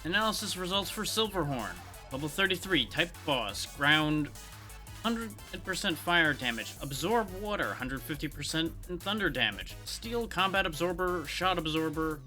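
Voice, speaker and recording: A man's voice: on the high side, expressive, normal speed, pushed and bright. A man giving casual live commentary on a video game, talking to himself in a wry way. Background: video game music.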